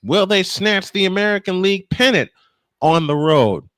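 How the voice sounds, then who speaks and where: A man with a fairly high voice hosts a live baseball broadcast. He calls the play and speaks straight to his listeners in a folksy way. The sound is dry and close. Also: lively intonation, moderate pace, a bit bright, no echo. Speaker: play-by-play sports broadcaster and host. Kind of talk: live baseball commentary and sign-off.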